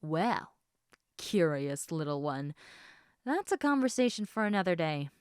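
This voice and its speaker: A woman's voice: on the low side, sing-song, measured pace, clear, resonant tone, dry recording, clean studio sound. A woman voicing a sleepy, affectionate lamia, half snake, in a casual, teasing, rambling way for an intimate roleplay addressed to the listener. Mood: playful, evasive.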